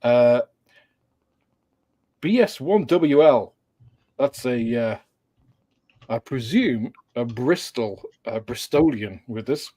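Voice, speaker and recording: A man's voice: mid-range voice, expressive, takes it slowly, pauses often, dark tone, husky. A man hosting a casual livestream chat, welcoming viewers in a meandering, hesitant way with frequent filler sounds and light chuckles. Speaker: host of a model-building livestream.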